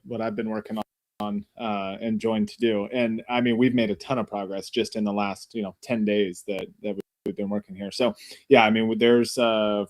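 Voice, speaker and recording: A man's voice: lowish voice, dry recording. A man answering a question on a live stream, explaining project progress steadily. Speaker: project business developer.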